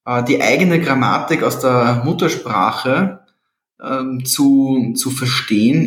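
A man who works as an NLP trainer, explaining his methods in a relaxed conversation with frequent fillers and restarts. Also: mid-range voice. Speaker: NLP trainer and life coach. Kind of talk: casual podcast conversation. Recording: roomy echo.

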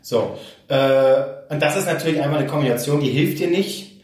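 Podcast co-host with a cold, a bit higher voice, set back from the mic in a very echoey room. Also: male voice, normal speed, a little mellow, far from the mic. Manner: explanatory, teasing. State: has a cold, sore throat. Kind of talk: casual chat.

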